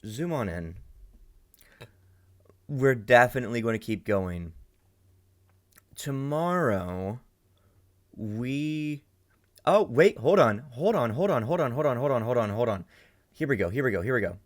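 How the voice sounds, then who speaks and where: A young adult man hosting a reaction video, chatty and excitable, musing aloud and breaking into loud outbursts. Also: mid-range voice, measured pace, long pauses. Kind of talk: casual reaction commentary.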